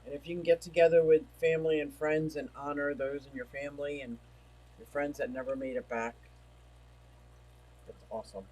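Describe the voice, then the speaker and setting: Man, livestream host, slightly high voice, rambling casual chat, voice going. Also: little pitch movement, normal speed, a little mellow, slight background noise, rough recording. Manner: rambling, chatty, reads chat messages aloud. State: losing his voice. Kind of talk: casual livestream chat reading viewer comments. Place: home.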